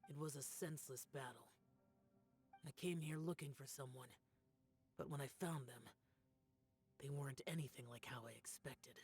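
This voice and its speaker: A man's voice: mid-range voice, moderate pace, long pauses, a little mellow, close to an everyday voice. A man voicing a solemn, aloof young immortal demon-slayer in a fantasy game, speaking in a grave, guarded, restrained way. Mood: somber, brooding. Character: solemn, aloof young male immortal demon-slaying warrior burdened by karma. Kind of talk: scripted fantasy game acting. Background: background music.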